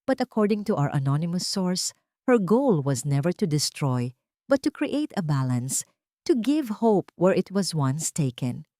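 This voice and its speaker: Woman reading as solemn news article, Filipino accent, measured, weighty, lowish voice, story narration. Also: sing-song, warm tone, no echo, clean studio sound. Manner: slow, measured. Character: solemn newspaper article being read out.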